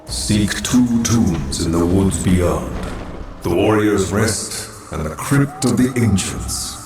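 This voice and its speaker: A man's voice: low-pitched, expressive, takes it slowly, darkish tone, heavily acted. A man voicing an ancient, solemn guardian of a temple who speaks in a grave, commanding way. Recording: echoey, distant, music behind. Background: game music.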